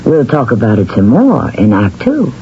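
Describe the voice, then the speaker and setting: A man's voice: mid-range voice, huge pitch swings, moderate pace, dark tone, slight background noise. A man hosting a radio mystery, narrating from a script in an arch, literary, theatrical manner. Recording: muffled old radio recording.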